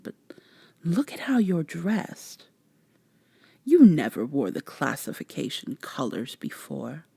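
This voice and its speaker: A woman as a slow, soft, haughty vampire lady. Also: fairly low pitch, gravelly, husky, no room sound, clean studio sound, heavily acted. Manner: formal, measured, condescending. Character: haughty, teasing female vampire, formal and worldly. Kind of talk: soft-spoken ASMR roleplay acting.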